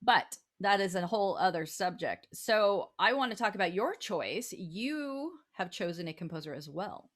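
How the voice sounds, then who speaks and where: A woman's voice: medium pitch, lively intonation, moderate pace, hardly any pauses. A woman hosting a talk show, chatting casually and with enthusiasm. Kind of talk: casual chat.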